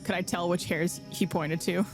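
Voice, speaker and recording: A woman playing a tabletop game, chatting with animated, laughing banter. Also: fairly low pitch, normal speed, faint music behind. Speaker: player. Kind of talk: casual tabletop game chat.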